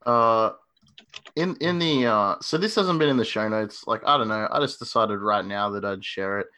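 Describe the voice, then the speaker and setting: A man's voice: fairly low pitch, normal speed, pushed and bright. A man co-hosting a casual chat, rambling as he thinks aloud. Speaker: co-host.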